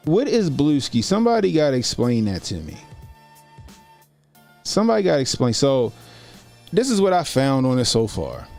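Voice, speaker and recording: A man's voice: mid-range voice, wildly swooping pitch, normal speed, gravelly, pauses often, darkish tone, soft background music. A man in his forties doing casual livestream commentary as a YouTube host, talking informally and repeating phrases for emphasis. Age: 40s.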